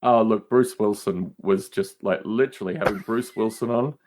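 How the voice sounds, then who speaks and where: A man's voice: lowish voice, steady tone, moderate pace, a little mellow, slight background noise. Emphatic middle-aged man chatting. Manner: emphatic, repetitive, rambling storyteller. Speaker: podcast host appearing as guest. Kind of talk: casual chat.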